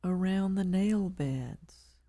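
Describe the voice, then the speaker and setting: Female meditation guide, lowish pitch, unhurried delivery in short phrases with long pauses, calm and measured, dry close sound. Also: no echo. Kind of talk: guided body scan meditation.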